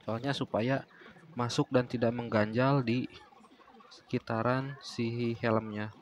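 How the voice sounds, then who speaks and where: A man's voice: mid-range voice, fairly even intonation, slow, slight background noise. A man narrating a casual DIY tutorial in an explanatory way, often repeating phrases. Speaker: DIY vlogger.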